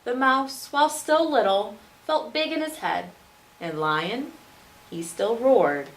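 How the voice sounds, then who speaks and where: A woman schoolteacher reads a children's picture book aloud expressively, with dramatic pauses and emphasis for young listeners. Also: on the high side, expressive, rough recording. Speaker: second-grade teacher reading aloud.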